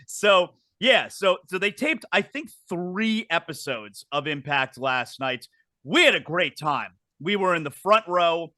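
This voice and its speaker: A man's voice: high-pitched, animated, unhurried, bright, forceful tone, no room sound, studio quality. A middle-aged man hosting a casual wrestling talk show, chatty and prone to repeating words as he restarts sentences. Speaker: podcast host.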